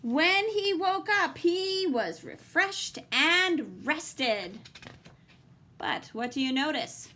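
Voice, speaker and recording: Female storytime teacher: high-pitched, slow and deliberate, expressive read-aloud aimed at young children. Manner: expressive, deliberate, puts questions to young listeners. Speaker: teacher reading a picture book aloud.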